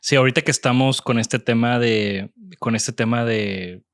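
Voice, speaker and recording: A man's voice: medium pitch, normal speed. A man in his thirties with a Mexican accent, hosting a casual interview and often restarting his sentences. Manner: hesitant, restarts, casual slang. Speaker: podcast host and professor.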